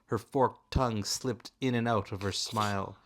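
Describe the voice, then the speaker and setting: A man narrating a storybook aloud in a deliberate, expressive, dramatic way. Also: lowish voice. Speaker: narrator, father reading aloud.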